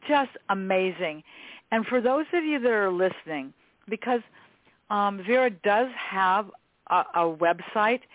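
A woman's voice: mid-range voice, no room sound. A woman hosting a podcast in a conversational, effusive way, repeating words for emphasis. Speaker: podcast host. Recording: narrow phone-like band.

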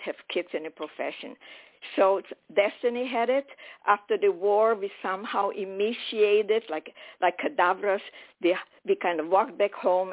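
A woman in her eighties, born in Romania with German as her first language, telling her life story as a radio guest, lively, candid and quick to joke. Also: on the low side. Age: 80s. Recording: phone-line radio sound.

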